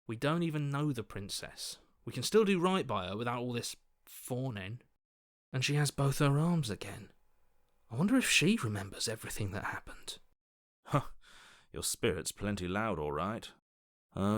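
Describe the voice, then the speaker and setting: An actor playing an earnest, sensible young hero in a video game, level-headed and mildly sarcastic. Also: male voice, fairly low pitch, expressive, moderate pace, no room sound, studio quality, natural voice. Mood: reproachful, then thoughtful. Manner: level-headed, dryly reproachful. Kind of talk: video game character acting.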